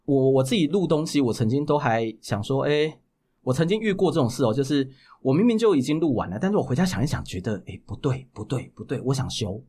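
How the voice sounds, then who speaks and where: A man's voice: mid-range voice, lively intonation, fast talker, warm tone. A man with a Taiwanese Mandarin accent speaking as a guest voice actor in a casual podcast interview, explaining things and rephrasing his points for emphasis.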